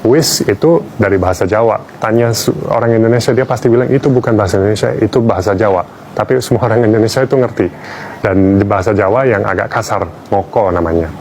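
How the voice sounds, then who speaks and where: A man's voice: fairly low pitch, quick pace, darkish tone, slight background noise. A man from Australia, a native English speaker, giving a wry, playful public talk as a language teacher.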